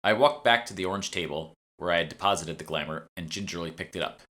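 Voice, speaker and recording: Bright, low-pitched man narrating a novel. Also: quick pace. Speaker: author reading own audiobook. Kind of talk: scripted audiobook narration, first person.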